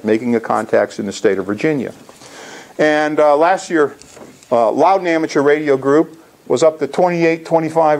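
Male, 60s, creaky gravel in the voice, informal club presenter talking through slides. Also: on the high side, darkish tone. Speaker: amateur radio club presenter. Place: indoor lecture room.

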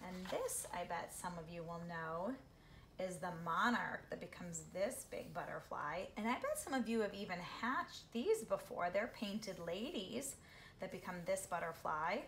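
A middle-aged woman reading a picture book aloud to children, with expressive, drawn-out phrasing and questions for her young listeners. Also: fairly low pitch. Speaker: read-aloud storyteller for children. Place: small living room, seated on a couch. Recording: roomy home recording with background noise.

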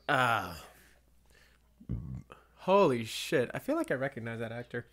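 A man's voice: medium pitch, huge pitch swings, slow pace. A young man rambling casually to himself while doing live commentary on an old movie, full of fillers and restarts. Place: at home.

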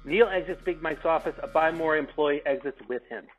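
A man's voice: a little high, brisk. A man reading out stage directions as narrator at a remote script table read. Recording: dull call audio, music behind.

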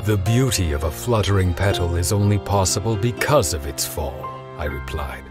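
Male knight, low, wistful, reflective, gentle dramatic game narration, loud music underneath. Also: expressive. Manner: gentle, measured storytelling. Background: loud background music.

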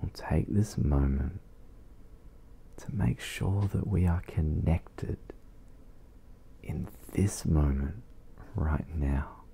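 Male meditation guide for ASMR, extremely low with gravelly fry, soft and soothing, leaving long pauses. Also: slight background noise.

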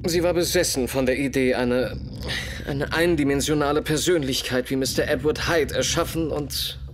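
A man plays a brilliant Victorian detective in a radio play. He sets out his deductions gravely and methodically at a middle pitch, pausing and repeating words for weight. Slight noise sits behind the voice. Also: lightly acted. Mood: grave, deliberate. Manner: deliberate, measured, expository, with dramatic repetitions. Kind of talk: German radio play dialogue, explanatory monologue.

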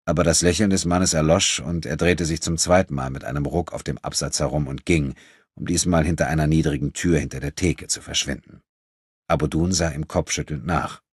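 Deep, husky male audiobook narrator. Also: steady tone, a little fast, no echo, studio quality. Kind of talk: scripted dramatic narration of a novel.

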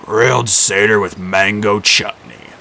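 A man voicing a huge, dim-witted male cyclops who growls his words slowly and heavily. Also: on the low side, steady tone, gravelly, sharp tone, exaggerated voice. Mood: growling menace. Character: huge brutish one-eyed male cyclops.

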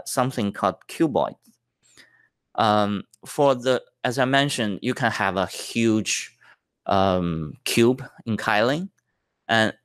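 A man's voice: on the low side, no echo, studio quality. A man giving a technical conference talk over slides, explaining step by step with many fillers and restarts. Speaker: tech presenter.

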